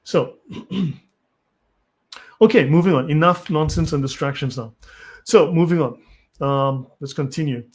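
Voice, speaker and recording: A man's voice: fairly high pitch, unhurried, long pauses, darkish tone. A South African man hosting a live stream, who reads from articles and answers chat comments bluntly and impatiently. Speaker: channel host.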